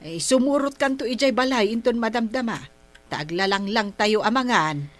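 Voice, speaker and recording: A woman's voice: a little high, moderate pace, natural voice. A woman playing a chatty, nosy village neighbour in a comedy drama, speaking with an Ilocano accent. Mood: gossipy. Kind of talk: comedic barangay radio drama acting.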